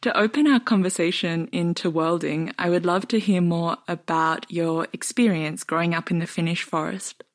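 Low-voiced woman podcast host, dry sound. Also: fairly even intonation, moderate pace, few pauses, no room sound. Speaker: podcast host, dance artist. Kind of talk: scripted intro then interview questions.

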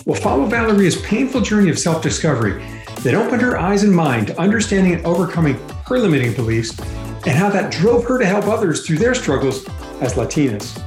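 A man hosting an interview podcast with a lively, animated delivery that rises and falls freely. He reads his introduction smoothly before turning to his guest. Faint music plays softly beneath him. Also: high voice, fairly quick. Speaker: podcast host. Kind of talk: scripted intro then interview.

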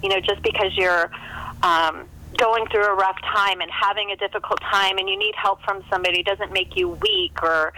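A woman's voice: mid-range voice, expressive, moderate pace, pushed and bright, slight background noise, rough recording. A woman author talking casually about her work as an interview guest, chatty and rambling, often quoting imagined dialogue.

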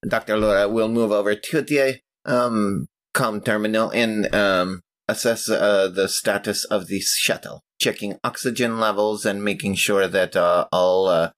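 A man with a deep voice takes part in a tabletop role-playing game. He is hesitant and methodical, stopping to think as he puts questions about his options. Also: steady tone, measured pace, hardly any pauses, a bit bright. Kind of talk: casual tabletop game play.

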